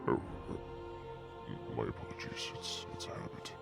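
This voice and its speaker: A man playing a huge, commanding but polite dragon in a fantasy audio drama, speaking in formal phrases over loud music. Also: very high-pitched, huge pitch swings, unhurried, gravelly, full character voice. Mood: sheepish.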